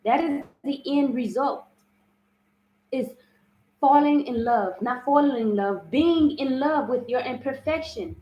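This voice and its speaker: A woman's voice: sits high, a little slow, echoey room, highs cut off. A woman hosting a live self-love talk, with a strong, big voice, speaking earnestly and often asking "you understand?". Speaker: spiritual self-love host. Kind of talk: live-streamed motivational talk.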